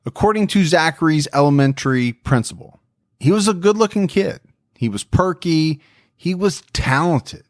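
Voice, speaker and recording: A man hosting a true crime podcast, telling the story in a relaxed, friendly way and chatting with his co-host. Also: a little high, moderate pace, no echo, studio quality.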